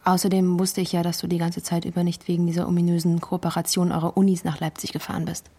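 A woman's voice: lowish voice, little pitch movement, fast talker, warm tone, husky. An actress playing a hurt, bitter wife who confronts her partner in a naturalistic radio play, controlled but accusing.

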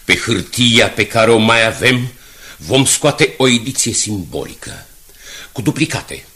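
A driven newspaper director, radio drama, emphatic and bossy, voice moves up and down a lot. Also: male voice, on the low side, big pitch swings, pushed and bright, full character voice. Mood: determined, rousing. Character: determined newspaper director under financial pressure. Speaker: actor.

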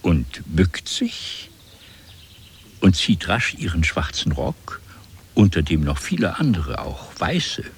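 A man narrating a literary radio play in an expressive, dramatic storytelling manner. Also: deep voice, slow pace, a little hiss or hum.

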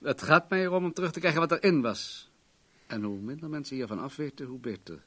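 An actor playing a wealthy, controlling businessman in a radio play, formal, guarded and self-assured in a measured way. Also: on the high side, lively intonation, a bit bright. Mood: guarded, firm.

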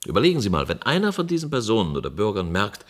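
Imperious, low-voiced, distrustful official. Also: male voice, wildly swooping pitch, fast. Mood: suspicious, dismissive. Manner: curt, commanding, suspicious. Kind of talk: German radio play acting.

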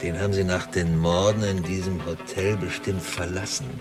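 An actor playing an irritable, brooding police investigator in a radio drama, curt and insistent. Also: male voice, sits low, steady tone, moderate pace, lightly acted. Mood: brooding, certain. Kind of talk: naturalistic German radio drama dialogue. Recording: loud music behind the voice. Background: loud music.

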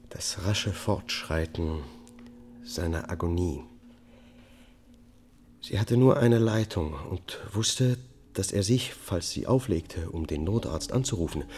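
Very low-voiced man, a weary confessing killer. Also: darkish tone. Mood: grave, measured. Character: male accused family killer, a weary confessing double-life husband. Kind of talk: radio drama acting, first-person testimony.

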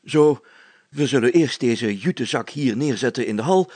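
A man's voice: mid-range voice, lively intonation, normal speed, darkish tone, no echo, pitched above the performer's own voice, lightly acted. A man voicing a pompous, flustered burgomaster in a story, with blustering, old-fashioned formal speech. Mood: jovial, commanding. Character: pompous, flustered male burgomaster and police chief.

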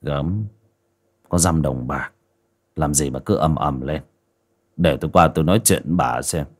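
A man voicing a cruel, hot-tempered grown son, speaking gruffly and snapping. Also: very deep voice, moderate pace, pitched below the performer's own voice. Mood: dismissive.

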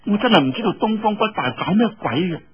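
A proud young woman of the martial world, put on by a male storyteller, talks in a raised, husky voice. Her phrasing is drawn out and disdainful, swinging in pitch. The audiobook sound is muffled. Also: lively intonation, quick pace, heavily acted. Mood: embarrassed, indignant. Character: young woman, proud, scornful daughter of sect leader.

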